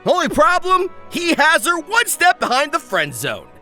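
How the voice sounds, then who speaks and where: A man hosting an anime review with energetic, scripted comedic narration, delivered in a hammy, exclamatory manner. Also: very high voice, normal speed, faint music behind. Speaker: YouTube anime reviewer and host.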